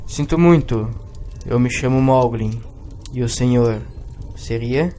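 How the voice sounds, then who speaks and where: A man's voice: medium pitch, slow pace, dark tone, slight background noise, lightly acted. A man playing a polite young traveller in an audio drama. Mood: courteous. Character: polite young male traveller seeking shelter.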